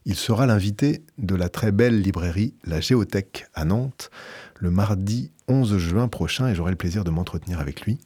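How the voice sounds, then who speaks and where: Deep, husky man reading dramatically. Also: lively intonation, mellow, no room sound, clean studio sound. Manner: measured, emphatic dramatic reading. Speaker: radio book columnist. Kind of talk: reading a book aloud, then a book review.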